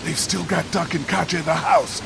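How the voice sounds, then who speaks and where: A man's voice: on the high side, steady tone, a little fast, vocal fry, noisy background. An actor playing a tense, protective man negotiating for hostages in a dramatic video game, urgent and pleading. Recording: loud music behind.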